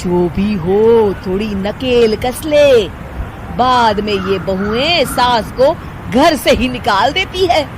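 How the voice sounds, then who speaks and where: A woman acts out a scheming, scornful older aunt from a cartoon moral tale. Her voice is high and swings a lot in pitch, drawn out slowly as she complains, over noticeable background noise. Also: lively intonation. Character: jealous, meddling older aunt stirring up family trouble. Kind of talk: Hindi animated moral story acting. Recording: clear background noise, outdoor street ambience.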